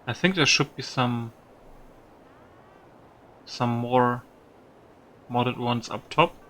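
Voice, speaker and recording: A man's voice: mid-range voice, slow, bright, forceful tone, a little hiss or hum. A man giving casual video game commentary, musing aloud with some mild swearing. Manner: musing aloud, mildly profane.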